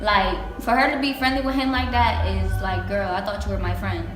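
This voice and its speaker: A woman's voice: mid-range voice, steady tone, fairly quick, natural voice. A woman acting a bossy, jealous teenage mean girl in a stage play, slangy and confrontational. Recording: echoey stage, clear background noise.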